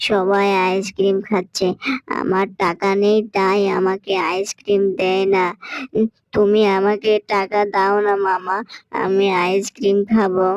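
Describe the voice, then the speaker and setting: A woman's voice: medium pitch, darkish tone, clean tone, no room sound, lightly acted, low-quality recording. A woman voicing a little boy in a cartoon who whines and begs for ice cream. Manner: whiny, wheedling child voice. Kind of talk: cartoon voice acting.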